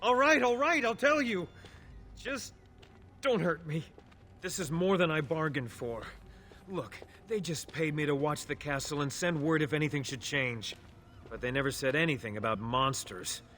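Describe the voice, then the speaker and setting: Nervous, high-voiced evasive man. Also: animated, moderate pace, a bit bright, slight background noise. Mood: frightened, pleading. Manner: defensive, stammering, pleading. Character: nervous, evasive man working as a hired spy. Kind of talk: video game voice acting.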